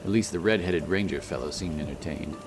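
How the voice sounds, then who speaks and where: A man's voice: low-pitched, brisk. A man narrating a scripted fantasy story as its storyteller. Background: music.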